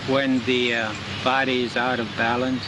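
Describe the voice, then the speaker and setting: A man's voice: mid-range voice, little pitch movement, moderate pace, very noisy. A man asking questions informally and hesitantly, repeating words, recorded outdoors on 1970s reel-to-reel video. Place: outdoors beside a pond.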